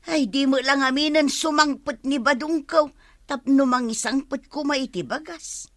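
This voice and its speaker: A stubborn village woman who grumbles in a high, bright voice with an Ilocano accent. The delivery is radio comedy drama, recorded dry with no room around it. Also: moderate pace, no echo. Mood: complaining.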